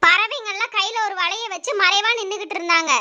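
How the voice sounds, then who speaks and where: A woman's voice: very high voice, a little fast, bright, forceful tone, lo-fi sound. A woman narrating a scripted children's animal story.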